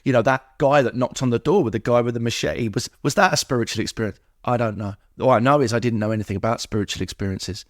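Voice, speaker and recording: A middle-aged man tells a personal story as an interview guest. His voice sits at an everyday male pitch and is recorded dry and close. He acts out bits of dialogue as he goes. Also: quick pace, few pauses, no room sound. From England. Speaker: podcast guest.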